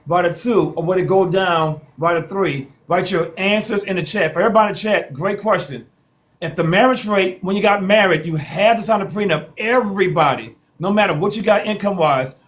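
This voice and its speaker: A man in his forties hosting a live-stream call-in talk show, brisk and quick to cut callers off to steer them. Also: high-pitched. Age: 40s. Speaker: host.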